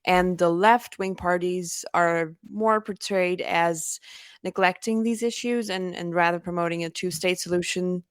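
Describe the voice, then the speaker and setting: A woman's voice: lowish voice, fairly even intonation, unhurried, smooth, no echo, studio quality. A woman hosting a conversational interview, phrasing her questions politely and carefully. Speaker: podcast host, interviewer.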